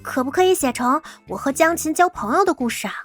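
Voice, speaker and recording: Actress as high-voiced, naive deadpan college girl. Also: fast, faint music behind. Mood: shy, earnest. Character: soft, naive, pitiful-cute rich college girl. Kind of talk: Chinese audio drama acting.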